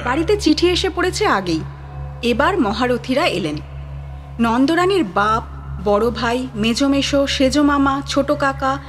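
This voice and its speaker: Woman narrator, wry lively storytelling, a bit high, sitar music behind. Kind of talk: scripted narration.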